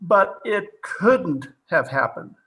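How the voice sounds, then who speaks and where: An actor playing a hard-nosed, sarcastic police captain in an old-time radio drama, interrogating forcefully and full of disbelief. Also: male voice, high voice, big pitch swings, slow, slightly rough, exaggerated voice.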